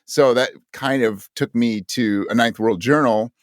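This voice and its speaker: A man's voice: medium pitch, measured pace, no echo, studio quality. A man giving thoughtful, reflective answers as an interview guest, searching for his words as he explains. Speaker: podcast guest, audio drama creator.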